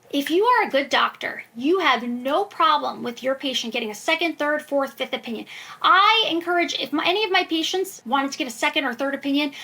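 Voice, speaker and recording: Female medical expert for podcast interview: very high, forceful bright voice, emphatic and reassuring explainer. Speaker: guest expert, preventive cardiologist. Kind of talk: conversational podcast interview answers.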